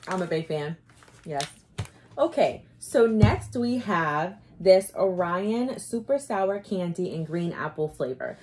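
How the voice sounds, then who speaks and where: A lively woman in her thirties co-hosts a snack review in a somewhat low voice, tossing out quick asides. She is recorded on a camera mic in an indoor room, with a faint hiss in the background. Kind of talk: casual snack tasting chat. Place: indoor room before a glitter backdrop.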